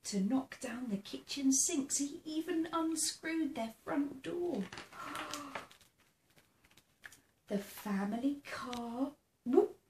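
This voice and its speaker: A woman reading a children's picture book aloud in a theatrical, expressive way, engaging young listeners. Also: high voice. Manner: asks the listening child questions. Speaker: storybook reader. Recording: roomy sound with faint background noise.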